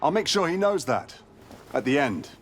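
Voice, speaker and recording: An actor playing a courteous but wary monster hunter in a video game, speaking in a measured, formal and ominous way. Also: male voice, a little high, sing-song, slight background noise. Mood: solemn, menacing. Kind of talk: dramatic video game dialogue acting.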